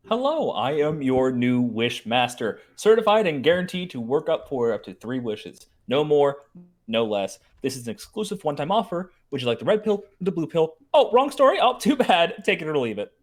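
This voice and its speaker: A man's voice: a little high, big pitch swings, moderate pace. A man playing a brash, impatient wish-granting spirit with slangy salesman's patter in a comedic reading. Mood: brash, exasperated. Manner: slangy, exasperated dude-speak.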